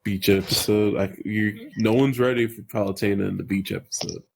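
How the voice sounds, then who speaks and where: A man's voice: on the low side, steady tone, a little fast, creaky, background noise. A man chatting casually with friends as a panelist on a podcast. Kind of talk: casual chat among friends.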